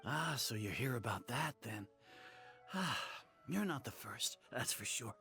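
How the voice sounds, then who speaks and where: An actor playing a weary, sincere village merchant in a video game, hesitant and earnest, with a gravelly voice. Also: male voice, mid-range voice, expressive, unhurried, pushed and bright. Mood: weary, discouraged. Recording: music under the voice. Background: game music.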